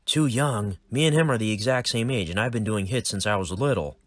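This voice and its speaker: A man's voice: lowish voice, fairly quick, dry recording, natural voice. A man voicing a hot-headed young male assassin who talks in a brash, pushy way.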